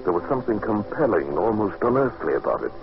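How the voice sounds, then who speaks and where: A man's voice: fairly low pitch, big pitch swings, fast talker. An actor playing an earnest English businessman who narrates an eerie tale in an articulate, theatrical old radio drama style. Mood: awed, uneasy. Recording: dull noisy old radio recording.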